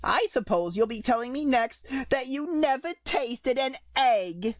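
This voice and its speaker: A woman's voice: sits high, animated, unhurried, no echo, studio quality, pitched above the performer's own voice. A woman voicing a frantic, scolding mother pigeon in a storybook, suspicious, exasperated and given to shrieking complaints. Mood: contemptuous, sulky.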